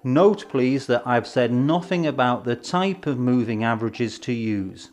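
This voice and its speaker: Guy doing a scripted tutorial voiceover, medium pitch, measured n clear points, music behind him. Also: animated, darkish tone. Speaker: presenter of an educational film. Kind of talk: scripted instructional narration. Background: background music.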